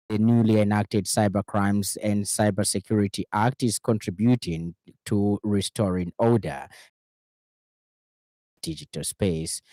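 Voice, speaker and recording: Low, mellow male newsreader. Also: no room sound. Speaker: radio news presenter. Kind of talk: scripted news bulletin reading.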